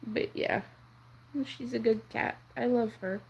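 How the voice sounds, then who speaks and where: A young woman whose first language is French, chatting softly and rambling on a crochet livestream. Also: on the high side, fairly even intonation, a little slow, vocal fry, slight background noise, low-quality recording. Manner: soft-spoken, rambling, counts under her breath. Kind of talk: casual chat while crocheting.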